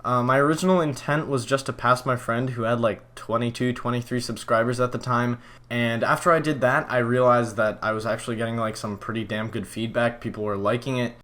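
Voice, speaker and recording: Relaxed young man rambling through viewer questions. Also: medium pitch, brisk. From Poland. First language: Polish. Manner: relaxed, rambling, many fillers and restarts. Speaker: channel host answering viewer questions. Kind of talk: casual Q&A vlog.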